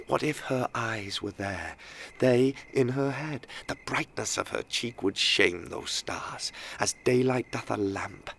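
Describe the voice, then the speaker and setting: An actor playing an ardent, yearning young nobleman in a Shakespearean audio play, moving from breathy hush to swelling verse. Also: medium pitch, slight background noise. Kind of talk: Shakespearean radio play soliloquy.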